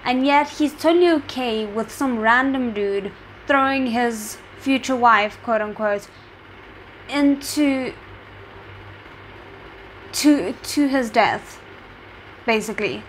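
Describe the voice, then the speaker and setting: Female reaction host, higher-pitched voice, off-the-cuff commentary on a show, noticeably noisy recording. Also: slow pace, pauses often. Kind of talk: spontaneous reaction commentary on a drama series. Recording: clearly noisy background.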